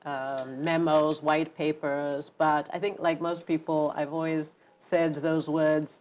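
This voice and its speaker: Low-voiced woman author from Zambia. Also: flat delivery, takes it slowly, muffled recording. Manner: laughs easily, fluent, warm storytelling with lists. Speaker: author guest. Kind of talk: relaxed conversational interview.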